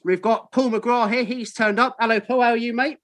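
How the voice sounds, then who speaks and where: A man's voice: extremely high pitch, fairly even intonation, a little fast, fairly bright tone. A man in his thirties with a London accent, hosting a casual football podcast with lots of ums and mates. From London, England. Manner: chatty, matey, lots of ums. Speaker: podcast host. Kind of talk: casual chat.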